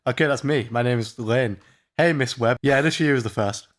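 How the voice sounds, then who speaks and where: A man's voice: mid-range voice, fairly quick, very noisy. Laughing man reading a game. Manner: swearing, laughing, reads narration with jokey asides. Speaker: let's play host. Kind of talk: casual let's play banter, reading game text aloud.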